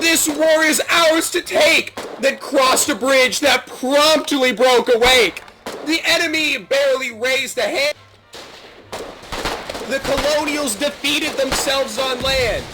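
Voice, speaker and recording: A man loudly declaiming mock-heroic slam poetry in drawn-out lines, shouting it out during an online game. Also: very high voice, background noise. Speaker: video game player reciting a mock poem. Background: loud music.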